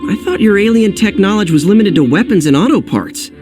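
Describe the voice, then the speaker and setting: Wisecracking boy hero for a video game: high, very expressive, teasing and sure of himself. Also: a little fast. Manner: teasing, sarcastic. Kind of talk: video game cutscene acting. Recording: loud music over voice. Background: loud game soundtrack music.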